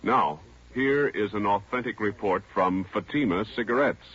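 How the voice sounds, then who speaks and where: A man's voice: fairly low pitch, moderate pace, a bit bright, breathy edge. An American man announcing a 1940s radio show in formal, emphatic scripted lines. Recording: dull, faintly noisy 1940s radio recording.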